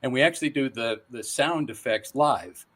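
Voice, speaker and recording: A middle-aged man with an average-pitched voice talks casually as an interview guest. He explains and recounts things in a conversational storytelling way. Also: moderate pace, a bit bright. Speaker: guest voice actor.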